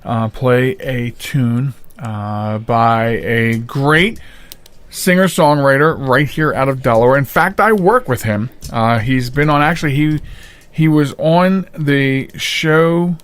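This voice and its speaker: A man's voice: medium pitch, takes it slowly, a bit bright, smooth. An American man, middle-aged or older, hosting a podcast in loose, unscripted, conversational chat. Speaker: podcast host. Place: home studio.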